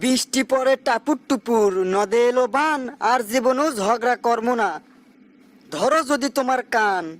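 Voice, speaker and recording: Man playing high-pitched, quarrelsome cartoon village husband. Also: moderate pace. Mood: scolding. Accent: northern Bangladeshi. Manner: taunting, sing-song rhyming delivery. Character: stingy, quarrelsome village husband bickering in rhyme. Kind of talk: comic dialect cartoon dialogue.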